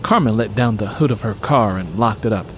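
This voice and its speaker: A man narrating scripted fiction as an audiobook reader, in an expressive storytelling style. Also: lowish voice, brisk, a little hiss or hum.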